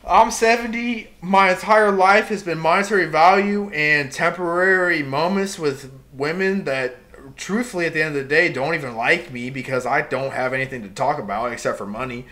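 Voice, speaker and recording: Bright-voiced young man on a rant. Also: a little high, moderate pace. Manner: impassioned ranting with emphatic outbursts. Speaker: podcast co-host. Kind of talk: casual podcast rant.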